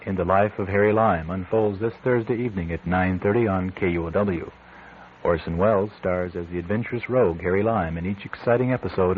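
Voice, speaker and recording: A man with a very low voice delivers a scripted radio promo. He sounds like a classic station announcer, on a narrow-band recording with some light noise.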